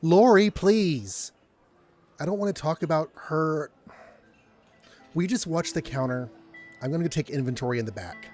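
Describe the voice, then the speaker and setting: A man playing a cautious, caring young man with a gentle, hesitant manner in an audio drama. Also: on the high side, unhurried, long pauses, soft background music, close to an everyday voice. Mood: pained.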